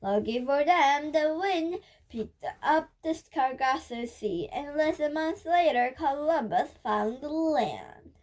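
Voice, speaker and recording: A young girl reading a nonfiction book aloud in a careful, slightly halting way. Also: high voice, lively intonation, a little mellow. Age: child. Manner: careful, halting read-aloud with occasional stumbles. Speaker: reader of a children's nonfiction book.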